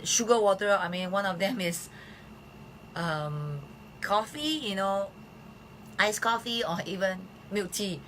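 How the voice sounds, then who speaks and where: A woman with a hoarse voice hosting a casual live health stream, chatting with lots of fillers. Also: lowish voice, fairly bright tone, slight background noise, low-quality recording. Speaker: host of a live health show. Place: Philippines.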